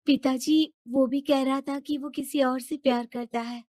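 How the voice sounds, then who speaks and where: A woman's voice: high-pitched, steady tone, measured pace, darkish tone, dry recording, clean studio sound. A woman voicing an earnest, defiant young daughter in love in a cartoon moral story, speaking emphatically. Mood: pleading.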